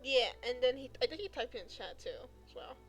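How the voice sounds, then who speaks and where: Bright, animated woman chatting on stream. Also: high voice, brisk, soft background music, poor audio. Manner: giggly, rambling. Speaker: game streamer. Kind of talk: casual chat while live streaming.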